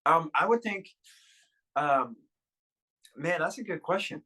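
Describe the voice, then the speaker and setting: Halting, bright-voiced young man. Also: a little high, lively intonation. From Russia. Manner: rambling, halting, many fillers and restarts. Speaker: podcast guest. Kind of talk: candid personal interview. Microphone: video call.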